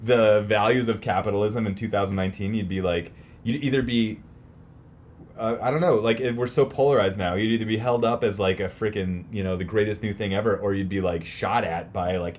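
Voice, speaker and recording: Animated-talking, slangy man chatting on a podcast. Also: fairly low pitch, fairly quick, slight background noise. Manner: profane, emphatic slangy ranting. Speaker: podcast co-host; teacher. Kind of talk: casual opinionated chat.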